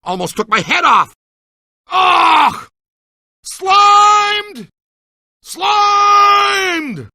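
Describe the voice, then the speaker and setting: Middle-aged ghostbuster type for a game, eager team leader, swings all over, super dry sound. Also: male voice, very high-pitched, very animated, drawn-out words, long pauses, pushed and bright, no echo, raised voice, heavily acted. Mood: pained, startled. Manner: enthusiastic, slangy, folksy team-leader talk. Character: eager, excitable middle-aged male ghost hunter mentoring a rookie. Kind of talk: video game voice acting.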